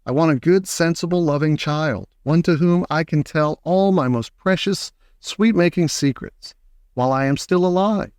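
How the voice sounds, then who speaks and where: Storybook role, man performing: kindly, eccentric old inventor, emphatic and brisk, higher-pitched, recorded dry. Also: darkish tone, clean tone, no echo, close to an everyday voice. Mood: earnest, confiding. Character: eccentric, eager old chocolate factory owner, kindly but brisk.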